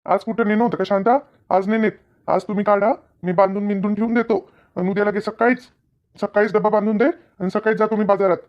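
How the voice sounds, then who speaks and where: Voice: medium pitch, steady tone, quick pace, highs cut off, lightly acted. A performer voicing a practical, good-natured male farm owner who nags his workers in a comedy cartoon, with a Maharashtrian accent and colloquial delivery. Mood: explaining. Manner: colloquial, nagging, instructing. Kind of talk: Marathi comedy cartoon dialogue.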